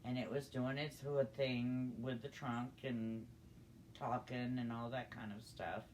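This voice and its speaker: An older woman telling a personal story in a conversational, halting, unhurried way. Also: extremely low pitch, flat delivery, a little mellow, a little hiss or hum, low-quality recording. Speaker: storyteller grandmother.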